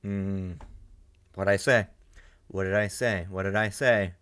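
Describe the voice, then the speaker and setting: A man's voice: sits low, fairly even intonation, measured pace, a bit bright, smooth. A man hosting a reaction video, musing aloud in casual commentary and often repeating himself.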